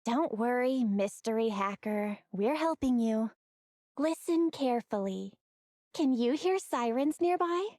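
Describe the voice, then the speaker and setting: High-voiced shrewd young heroine. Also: female voice, lively intonation, unhurried, a little mellow, dry recording, clean studio sound. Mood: coolly triumphant. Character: young sister, shrewd, composed, plucky underground operator. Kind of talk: English video game voice acting.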